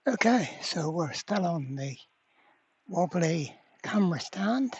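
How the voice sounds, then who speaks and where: A repair channel host giving a casual hands-on demonstration at a workbench, halting and thinking aloud while working. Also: mid-range voice, expressive, husky, no room sound, dull audio. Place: indoor workbench.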